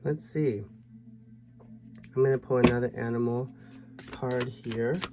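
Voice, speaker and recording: A man giving a tarot reading to viewers in a soft, musing way, his voice a little hoarse. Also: medium pitch, fairly even intonation, a little slow, background noise. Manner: soft, musing, thinks aloud with fillers. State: slightly hoarse, losing his voice. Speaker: tarot reader.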